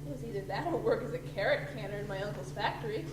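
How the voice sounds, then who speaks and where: A woman's voice: mid-range voice, fast talker, lightly acted. A woman playing a pert, blunt young female rabbit adventurer in an old-time radio comedy. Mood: earnest. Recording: noisy, echoey, distant amateur recording.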